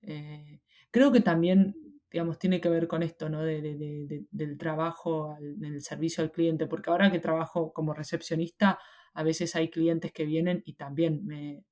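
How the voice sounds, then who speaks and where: A woman's voice: deep voice, fairly even intonation, normal speed, darkish tone. A young Argentine woman with an Argentine Spanish accent, talking reflectively as an interview guest, often hesitating and restarting. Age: young adult. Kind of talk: casual interview conversation.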